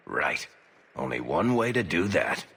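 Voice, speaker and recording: An actor playing a roguish dwarf adventurer in a video game, sardonic and wisecracking. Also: male voice, extremely low pitch, big pitch swings, a little slow, creaky, fairly bright tone, slightly rough, long reverb tail, heavily acted. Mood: dry, sardonic. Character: wry, roguish male dwarf adventurer and storyteller. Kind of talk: conversational video game acting.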